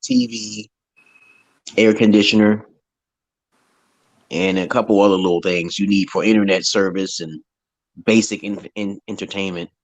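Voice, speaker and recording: A man with a deep voice in casual, opinionated chat, talking in a loose, slangy way and laughing often. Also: lively intonation, moderate pace, vocal fry, pauses often, poor audio. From United States. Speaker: conversation partner in an English chat.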